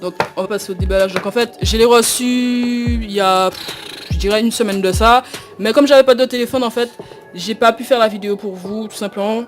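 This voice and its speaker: Hesitant young woman unboxing a phone. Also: medium pitch. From Guadeloupe. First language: not French. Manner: hesitant, many fillers and repeated "tout simplement". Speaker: unboxing vlogger. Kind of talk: casual phone unboxing walkthrough.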